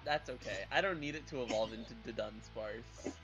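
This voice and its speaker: A man's voice: mid-range voice, moderate pace, fairly bright tone, dull audio, faint music behind. A man playing a tabletop game, chatting and improvising casually in a playful, slangy way.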